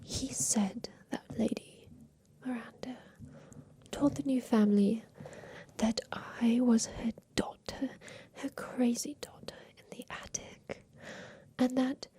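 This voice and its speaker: A woman playing an aging, wistful inventor confined in a haunted attic, whispering softly and confidingly in an ASMR roleplay about revenge. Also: fairly high pitch, fairly even intonation, exaggerated voice. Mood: conspiratorial, pleased. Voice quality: soft breathy whisper. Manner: slow, wistful, confiding, halting.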